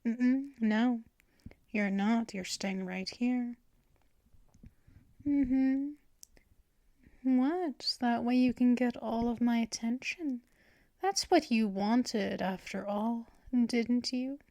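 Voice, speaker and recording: A woman voicing a possessive female vampire mistress in an ASMR roleplay, talking in soft, teasing whispers up close to the mic. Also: fairly high pitch, no room sound. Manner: slow, drawn-out delivery.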